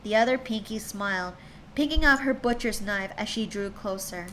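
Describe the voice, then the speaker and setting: A woman's voice: medium pitch, moderate pace, a bit bright, slight background noise, rough recording. A woman streamer reading fan fiction aloud to her chat, chatty and tripping over words now and then.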